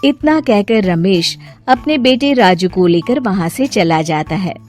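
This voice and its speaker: A woman's voice: mid-range voice, expressive, unhurried, a little mellow, faint music behind. A woman narrator reading a scripted story.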